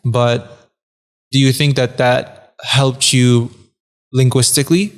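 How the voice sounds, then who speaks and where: A man's voice: medium pitch, level tone, slow, long reverb tail. A man interviewing a guest, asking thoughtful, probing questions in a conversational tone.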